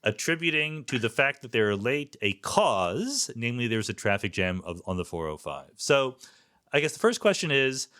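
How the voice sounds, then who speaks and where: Podcast host: American man, middling pitch, conversational delivery suited to introductions and interviews. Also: very animated, normal speed, fairly bright tone, clear, resonant tone.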